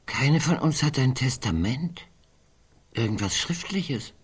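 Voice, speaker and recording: An actress playing a sardonic, gloomy elderly woman in a German radio drama, husky and bitingly dry in her delivery. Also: a bass voice, lively intonation, takes it slowly, full character voice. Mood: wry, gloomy. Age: older.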